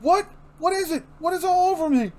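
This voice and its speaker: Man acting out a high-pitched panicky masked loser. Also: brisk, a little mellow. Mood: terrified. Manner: frantic, stammering pleas. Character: panicky hapless failed man in a stifling mask.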